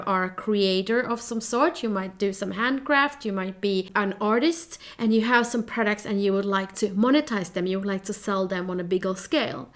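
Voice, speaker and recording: A woman in her thirties from Finland with a Finnish accent, presenting a business workshop in a clear, steady, explanatory way. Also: a little high.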